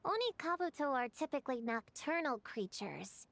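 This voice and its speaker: A woman's voice: high-pitched, sing-song, moderate pace, gravelly, fairly bright tone, no room sound, full character voice. Bossy know-it-all little witch. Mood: earnest. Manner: earnest, bossy, lecturing. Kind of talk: game voice acting.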